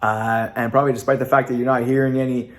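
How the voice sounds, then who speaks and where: A man's voice: mid-range voice, flat delivery, quick pace. A young Canadian man with a North American accent, a native Italian speaker, talking earnestly to camera in a casual vlog with lots of "uh" fillers. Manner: earnest, repetitive, frequent "uh" fillers. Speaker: vlogger.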